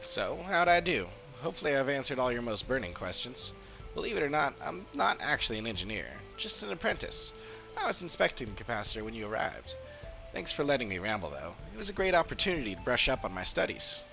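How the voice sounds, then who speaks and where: A man's voice: mid-range voice, big pitch swings, quick pace, vocal fry, sharp tone, faint music behind, exaggerated voice, rough recording. A man voicing a cheerful young apprentice engineer from a video game, chatty and explanatory. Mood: eager, friendly. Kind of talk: game dialogue read aloud in character.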